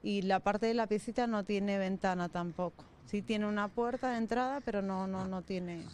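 Argentine woman in her 30s, soft and halting, repeats herself, handheld mic outside, faint noise behind. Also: mid-range voice. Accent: Argentine Spanish. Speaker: interviewee. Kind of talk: on-location news interview. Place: outdoors by a brick shack, then a small room. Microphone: handheld reporter's mic.

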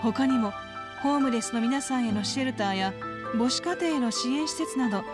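A woman plays a devout, gentle caretaker from a video game. She explains things politely and in a measured way, with clear background music under her voice. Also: fairly high pitch, close to an everyday voice. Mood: earnest, composed. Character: gentle, devout woman running a religious children's home. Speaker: staff member of a religious children's home. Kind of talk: scripted Japanese video game dub.

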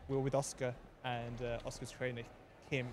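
A man hosts a relaxed podcast chat, joking and laughing easily. Clear noise from the busy surroundings runs behind his voice. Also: mid-range voice, normal speed. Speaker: podcast co-host. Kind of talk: casual chat. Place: indoor space near a pop-up store.

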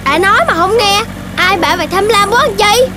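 Extremely high, cheeky cartoon puppy. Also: brisk. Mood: teasing. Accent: southern Vietnamese. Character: little Dalmatian puppy child, cheeky, excitable, teasing. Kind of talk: children's cartoon dubbing. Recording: loud music over the voice.

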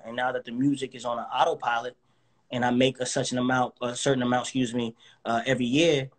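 A man in his thirties talking in a confident, motivational, somewhat preachy way as a guest in a casual interview, speaking from a living room over a phone or webcam video call. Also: medium pitch. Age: 30s. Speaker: guest artist. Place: indoors, living room with couch.

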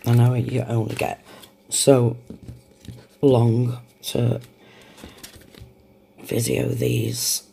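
A woman's voice: rumbling low, level tone, very slow, gravelly, long pauses, warm tone, slight background noise. A woman with a cold chatting casually and apologetically as she shows off craft supplies at her home desk, recorded on a camera set on the desk. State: has a cold. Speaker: craft vlogger. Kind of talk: casual haul show-and-tell.

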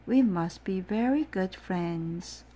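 A woman voicing a gentle, muddled little male owl in a storybook read-aloud, plaintive and earnest. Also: medium pitch, animated, slow.

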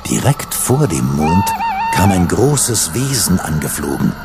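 A male narrator with a fairly low voice tells a children's radio play story. His scripted narration runs over clear background music. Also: big pitch swings, a little slow. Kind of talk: scripted audio drama narration.

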